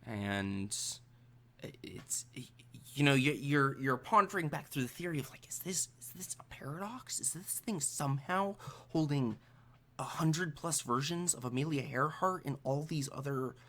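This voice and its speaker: A man improvising narration as a tabletop game master, halting and suspenseful, with trailing pauses. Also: a little high, sharp tone.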